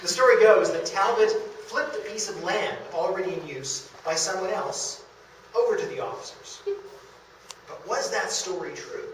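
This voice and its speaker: A man's voice: sits high, normal speed. A man giving an illustrated history lecture as the speaker at a live talk. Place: meeting room in a historic house, before an audience. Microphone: distant camera in the audience. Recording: very echoey room, far off, faint noise.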